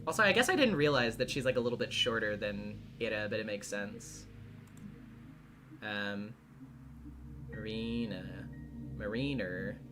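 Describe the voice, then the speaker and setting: A man hosting a casual livestream, talking in a halting way and often trailing off mid-thought. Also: fairly low pitch, lively intonation. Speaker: streamer and voice actor hosting a visual novel readthrough. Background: background music.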